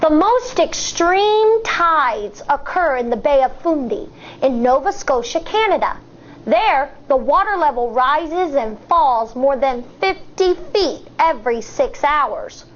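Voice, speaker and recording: A woman, an elementary school teacher, reading a nonfiction picture book aloud to young children in a deliberate, explanatory way. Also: high-pitched, expressive, slight background noise. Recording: dull, muffled lo-fi sound.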